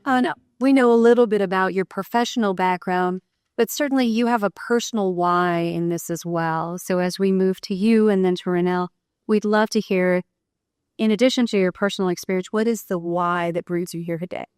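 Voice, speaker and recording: Smooth-voiced woman moderating a panel. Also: medium pitch, brisk, a little mellow, dry recording, studio quality.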